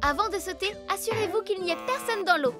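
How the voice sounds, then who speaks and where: A woman voicing a caring, gentle female rescuer in a French cartoon dub, kindly explaining like a teacher. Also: very high-pitched, fast talker. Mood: kindly instructive. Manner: instructive, clear, kindly. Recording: music under the voice.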